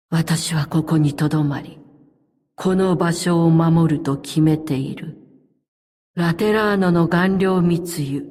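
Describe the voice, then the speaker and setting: Slow, low-voiced stoic female operative. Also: a little mellow, slightly rough, long reverb tail, exaggerated voice. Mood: calm, restrained. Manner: formal, measured, restrained, terse. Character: stoic elite female operative, telepathic, calm and loyal. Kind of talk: scripted game character voice lines.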